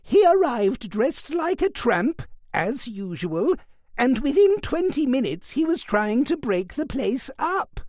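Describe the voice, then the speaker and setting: A man voicing an elderly aunt who is fierce, scornful and sharp-tongued, speaking in a raised, acid tone. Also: huge pitch swings, measured pace, no room sound, studio quality, exaggerated voice. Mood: indignant. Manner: acid, indignant, high-pitched.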